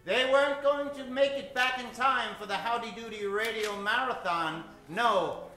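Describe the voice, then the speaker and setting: A man's voice: very high-pitched, normal speed, sharp tone. A man hosting and narrating in a mock-grand, theatrical old-time radio announcer style. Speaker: host, announcer and narrator of a live radio show. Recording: roomy live stage sound with music.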